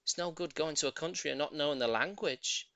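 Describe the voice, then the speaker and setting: Man as a magnificent male bird, grand manner, self-assured, unhurried, storybook character, close dry recording. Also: fairly high pitch, pushed and bright, dry recording. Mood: breezy, grand. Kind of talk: children's audiobook character voice.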